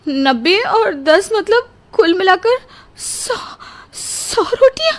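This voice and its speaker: A woman's voice: very high-pitched, big pitch swings, slow pace, heavily acted. A woman voicing a young, carefree bride who exclaims dramatically and is easily overwhelmed, in a Hindi cartoon story. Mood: wailing, overwhelmed. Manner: exclaiming, melodramatic.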